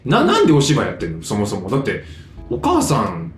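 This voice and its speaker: A man chatting casually as a podcast co-host, animated and explaining things with vivid sound words. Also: medium pitch, quick pace, reverberant, faint music behind. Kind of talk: casual chat.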